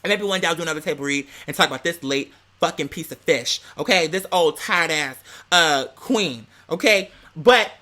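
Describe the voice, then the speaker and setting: A man's voice: on the high side, normal speed, hardly any pauses, sharp tone. An American man telling a casual story as a rant to viewers, with a sassy, theatrical, slang-heavy way of talking. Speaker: vlogger.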